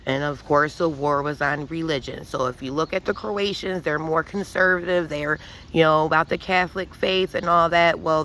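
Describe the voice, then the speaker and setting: A woman's voice: on the low side, fairly bright tone, slight background noise, poor audio. A woman giving an emphatic, profane spiritual monologue with many restarts, rambling and preachy. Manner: intense and preachy. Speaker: vlogger.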